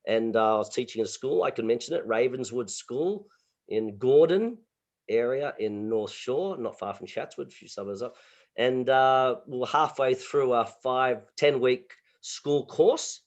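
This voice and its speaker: An Australian man, a martial arts instructor, telling stories in a relaxed interview over a video call, full of sound effects and restarts. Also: medium pitch, measured pace. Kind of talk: casual interview storytelling.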